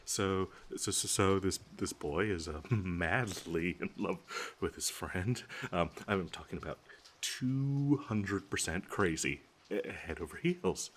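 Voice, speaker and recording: A male actor as a lovesick, obsessive young man in an intimate audio roleplay. His voice sits low and moves slowly, tripping over itself with stammers and nervous giggles as he addresses his best friend. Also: breathy edge, exaggerated voice. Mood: giddy, obsessive.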